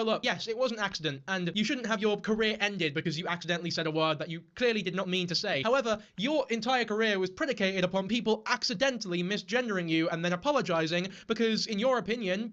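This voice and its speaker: A man hosting a reaction video, giving blunt, opinionated commentary in quick rants. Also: high-pitched, fairly bright tone, muffled recording. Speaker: reaction channel host.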